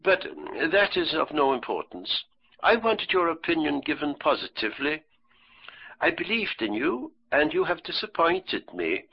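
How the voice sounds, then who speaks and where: A mysterious, impatient widow, voiced by a male audiobook reader. She speaks in a raised pitch with formal, old-fashioned phrasing and a slightly husky tone. The recording sounds dull and lo-fi. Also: sing-song, normal speed, exaggerated voice. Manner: clipped, earnest, formal old-fashioned speech. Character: pale, intense mysterious widow, impatient and proud. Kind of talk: audiobook character reading.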